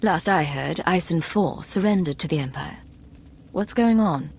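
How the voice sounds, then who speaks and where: A woman's voice: lowish voice, animated, normal speed, vocal fry, breathy edge, full character voice. A woman playing a cool, resolute Imperial intelligence agent in a video game, speaking in a composed, clipped and stern way. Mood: calm, probing. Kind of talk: scripted video game dialogue.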